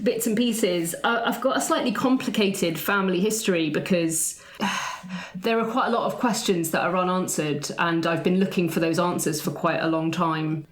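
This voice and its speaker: A woman's voice: fairly low pitch, moderate pace, close to an everyday voice. Woman playing tentative, earnest researcher, roomy sound. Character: earnest, searching woman researching tangled family history. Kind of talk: improvised roleplay.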